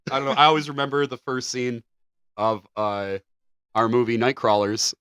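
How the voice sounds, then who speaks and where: Man, thirties, podcast co-host, bright tone, playful reader, dry sound. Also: mid-range voice, moderate pace, clear, resonant tone, no room sound. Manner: playful, reads story then riffs. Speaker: podcast co-host reading a news story. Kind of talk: casual chat and reading aloud.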